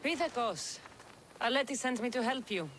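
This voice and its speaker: Sardonic mercenary, dry, wry, lively pitch. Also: female voice, moderate pace, slight background noise. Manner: dry, wry, deadpan remarks. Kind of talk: video game cutscene acting.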